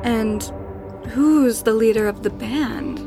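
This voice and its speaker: A woman's voice: a little high, slow pace. An actress playing a commanding, taunting spirit woman who haunts a manor in a horror audio drama, with an insinuating manner. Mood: insinuating, probing. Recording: voice over prominent background music.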